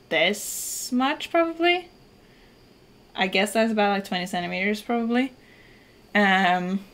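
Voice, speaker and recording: Chatty Norwegian woman streaming a game. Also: medium pitch. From Norway. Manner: relaxed, chatty, many "like" fillers, swears casually. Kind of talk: casual chat while gaming. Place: home room, indoors. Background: soft game music.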